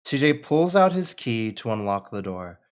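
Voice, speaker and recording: A man's voice: mid-range voice, very animated, normal speed, clean tone. A man reading out the stage directions and narration at a screenplay table read.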